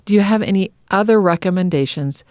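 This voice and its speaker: A woman's voice: mid-range voice, fairly quick, darkish tone, no room sound, clean studio sound. A middle-aged woman co-hosting a podcast in a conversational style, sometimes reading aloud. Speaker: podcast co-host.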